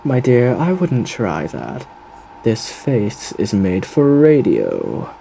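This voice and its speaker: Suave gentleman radio demon, swooping intonation, mellow dark tone, theatrical, flirtatious, faintly menacing, audio roleplay. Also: medium pitch, huge pitch swings, takes it slowly, creaky, a little hiss or hum, heavily acted. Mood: playful, scornful. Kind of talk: theatrical ASMR character roleplay monologue.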